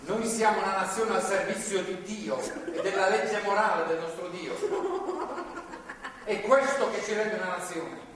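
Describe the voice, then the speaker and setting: An actor playing a stern, self-righteous religious zealot in a stage drama, preachy and commanding. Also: male voice, sits high, fairly even intonation, moderate pace, natural voice. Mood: preaching. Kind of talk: live stage drama acting. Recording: distant, echoey theatre recording with noise.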